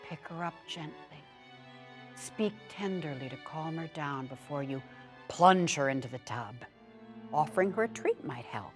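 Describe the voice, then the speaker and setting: A woman with a low voice performs a humorous how-to essay as a dramatic reading. Her delivery is instructional yet expressive, with lively swings in pitch and some music in the recording. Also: moderate pace. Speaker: actor reading a written piece aloud.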